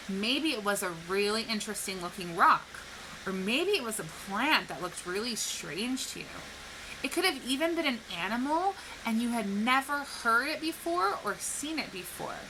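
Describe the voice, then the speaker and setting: A woman speaking like a teacher to young children, explaining things clearly and posing questions in an educational read-aloud. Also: fairly high pitch, pushed and bright, slight background noise, poor audio. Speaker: teacher reading a picture book to children.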